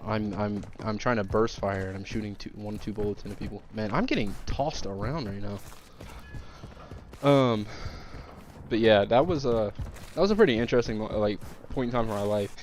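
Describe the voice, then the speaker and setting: Young man, nasal, stuffed up from a cold, lowish voice, rambling gamer chat, faint gunfire behind. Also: slight background noise. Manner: rambling, halting, many fillers and restarts. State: congested from sickness. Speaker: gaming streamer. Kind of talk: casual commentary while playing a shooter.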